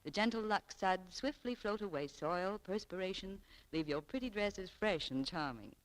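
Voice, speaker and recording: A woman's voice: mid-range voice, animated, normal speed, poor audio. A woman announcer reading a scripted 1940s radio advertisement in a polished, persuasive way. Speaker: sponsor announcer.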